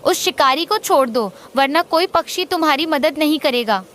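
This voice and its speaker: A woman's voice: high voice, lively intonation, normal speed, a bit bright. A woman voicing an anxious pigeon mother with an earnest, insistent cartoon delivery. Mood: anxious, warning. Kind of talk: Hindi moral-story cartoon dialogue.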